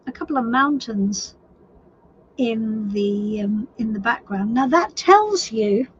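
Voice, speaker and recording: A woman with a croaky, husky voice giving a live tarot reading, unhurried and reassuring as she thinks aloud. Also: sits high, highs cut off, a little hiss or hum. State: hoarse. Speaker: tarot reader.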